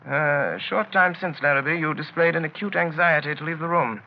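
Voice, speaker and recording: An actor playing a shrewd, courteous gentleman detective in 1930s radio drama style, speaking formally and precisely with dry politeness. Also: fairly high pitch, steady tone, fairly quick, close to an everyday voice. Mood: dryly polite. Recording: dull, hissy 1930s radio recording.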